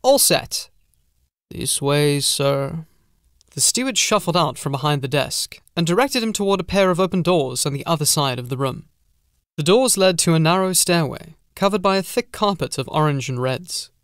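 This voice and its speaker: A man's voice: on the high side, lively intonation, a little fast, a bit bright, no room sound, clean studio sound. A man reading scripted gothic horror narration as an audiobook narrator.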